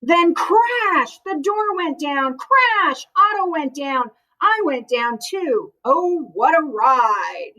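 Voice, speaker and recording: A woman reading a children's picture book aloud in an expressive, animated way, as if to young listeners. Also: high-pitched, takes it slowly, few pauses, poor audio.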